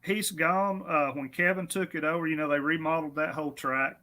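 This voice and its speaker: Easygoing guest, bright voice. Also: male voice, a little high, fairly even intonation, a little fast. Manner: easygoing, self-deprecating, friendly. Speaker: drag strip guest. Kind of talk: casual on-air interview.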